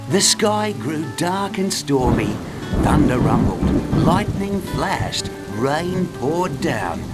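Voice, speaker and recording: A woman narrating a scripted children's story as a storyteller. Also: low-pitched. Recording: guitar music behind narration.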